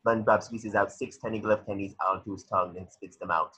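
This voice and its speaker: A man's voice: on the low side, steady tone, fast, fairly bright tone, husky. A man narrating a cartoon script's stage directions in a matter-of-fact way, sometimes stumbling over the words. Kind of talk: reading a cartoon script aloud.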